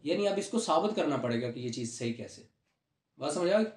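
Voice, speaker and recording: A high-voiced man with a Pakistani accent teaches law in an echoing room. He explains patiently in steps, restating key points and dropping in English legal terms. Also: expressive, fairly quick. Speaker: law lecturer. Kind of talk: classroom lecture with questions and explanations. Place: small room at a whiteboard, indoors. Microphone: camera a few steps away. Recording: roomy echoing indoor recording.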